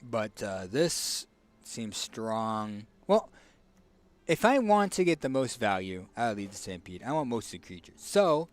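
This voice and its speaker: A man with a raspy, hoarse voice casually commenting on a card game as he picks cards, thinking aloud with fillers and restarts. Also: fairly low pitch, sing-song, unhurried. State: hoarse, tickly throat. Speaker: streamer.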